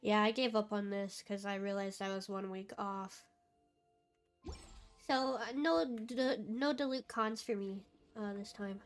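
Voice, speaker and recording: A woman's voice: medium pitch, fairly even intonation, unhurried, soft background music. A woman streaming a game, talking casually and apologetically to her chat while congested and sniffly from a cold. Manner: chatty, apologetic, easygoing.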